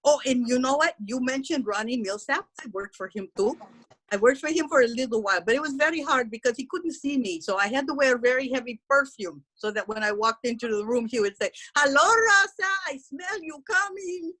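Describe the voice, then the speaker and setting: A woman's voice: high voice, expressive, brisk, sharp tone, full character voice, low-quality recording. A woman voicing a brash, gossipy middle-aged housekeeper, talking bluntly in broken English. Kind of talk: character stand-up comedy.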